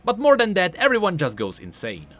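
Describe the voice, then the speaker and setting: A man's voice: sits high, wildly swooping pitch, fairly quick, a bit bright, soft background music. A man giving scripted explainer commentary as a video host, in an explanatory, emphatic manner.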